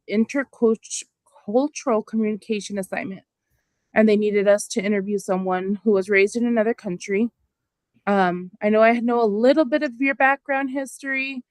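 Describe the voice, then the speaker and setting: A woman's voice: medium pitch, darkish tone, dry recording. Woman student doing a class interview, friendly, kinda hesitant, mid voice, dry sound. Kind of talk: reading interview questions.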